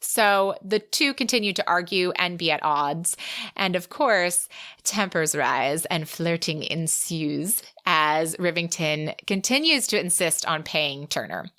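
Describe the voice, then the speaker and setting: Lower-pitched, bright-voiced woman podcaster. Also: expressive, measured pace. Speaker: podcast co-host. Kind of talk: book discussion with plot recap and read quotes.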